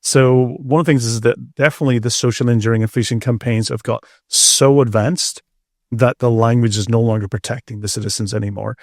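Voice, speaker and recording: A man's voice: medium pitch, steady tone, fairly quick, a little mellow, dry recording. Middle-aged man explaining, dry sound. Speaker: expert guest, security researcher. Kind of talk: conversational interview, explaining.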